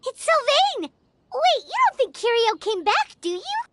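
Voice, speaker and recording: A woman voicing a tiny, childlike, excitable fairy companion in a video game, with a chirpy manner. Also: extremely high pitch, measured pace, background noise, heavily acted. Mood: alarmed. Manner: chirpy, refers to self in third person.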